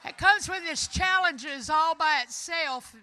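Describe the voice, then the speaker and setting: A woman's voice: high voice, slow pace. A middle-aged woman with a Southern US accent, delivering a rousing, folksy, emphatic conference speech as a union leader. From American South, Florida. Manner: emphatic, folksy, loud and declamatory. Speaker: union electrician and trades council president giving a speech. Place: conference hall, addressing an audience.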